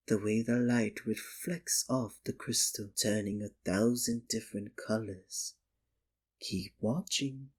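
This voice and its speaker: Slow, breathy, sinister magician. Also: male voice, medium pitch. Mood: hypnotic, soothing. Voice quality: soft, breathy. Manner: slow, coaxing, theatrical, condescending endearments. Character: sinister, possessive male stage magician, soothing hypnotist. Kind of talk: ASMR roleplay, scripted dramatic monologue.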